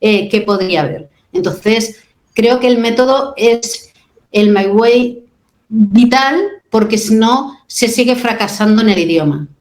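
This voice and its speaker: A woman's voice: fairly high pitch, measured pace, darkish tone. Woman in her fifties in an echoey room. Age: 50s. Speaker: radio host. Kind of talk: radio interview.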